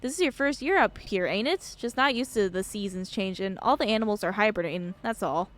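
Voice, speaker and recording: A woman voicing a gruff old male coal miner from a game, curt, folksy and suspicious. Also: medium pitch, animated, brisk, lightly acted. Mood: gruff, dismissive.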